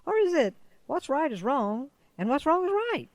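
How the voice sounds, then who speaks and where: A woman's voice: high-pitched, big pitch swings, normal speed, dry recording, voice pushed up. A woman voicing a chirpy, scatterbrained male crow in a storybook, chirping and repeating himself. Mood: eager.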